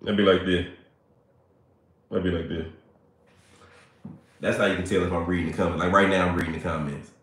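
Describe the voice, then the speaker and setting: A man, chatting playfully with viewers on a livestream, a little hoarse and congested. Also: low voice, fairly even intonation, brisk, pauses often, fairly bright tone. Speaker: livestream host. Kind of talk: casual livestream chat with viewers. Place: small bright room indoors. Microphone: phone at arm's length. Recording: very echoey room.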